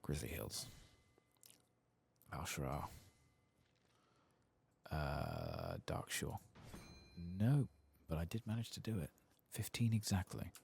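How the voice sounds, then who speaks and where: Deep, creaky, soft-spoken male ASMR gamer. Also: lively intonation, slow pace, long pauses. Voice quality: soft, drifting into whispers. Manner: casual, muttering asides to himself. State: tired, late at night. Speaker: host of a game playthrough. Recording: faint background noise.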